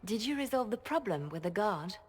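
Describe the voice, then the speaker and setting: A woman's voice: mid-range voice, very animated, fairly quick, husky. A woman playing a composed, discreet and cunning spymaster trained as a bard in a video game. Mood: composed, calculating.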